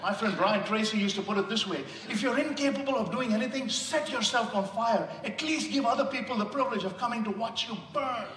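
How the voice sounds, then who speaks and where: A man's voice: very high-pitched, a little fast, few pauses, slight background noise. Passionate Indian-accented man in echoey hall. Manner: impassioned, rising to loud emphasis. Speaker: motivational speaker. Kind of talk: sermon-style speech. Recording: echoey hall, distant mic.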